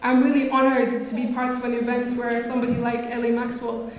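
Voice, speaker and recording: A determined, earnest woman in her late twenties, originally from Somalia, speaking in a level voice that stays on an even line. She sounds far from the mic in a big echoey hall with a long reverb tail, with faint background noise. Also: on the high side, fairly quick. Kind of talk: interview remarks.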